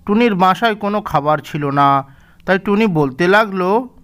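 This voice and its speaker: A man telling a cartoon fairy tale in a measured, gently didactic way. His voice sits high for a man and comes across smooth and resonant. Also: lively intonation. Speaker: storyteller. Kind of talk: scripted storybook narration.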